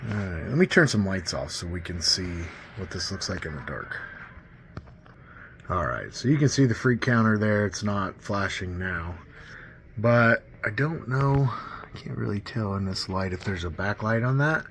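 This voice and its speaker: A man with a fairly low voice walks through equipment in a casual, hands-on way. He is informal and points out one thing after another. He is recorded indoors at a workbench, with clear background noise in the room. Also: moderate pace, fairly bright tone. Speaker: CB radio enthusiast demonstrating gear. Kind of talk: casual hands-on product demo. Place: indoors at a radio bench.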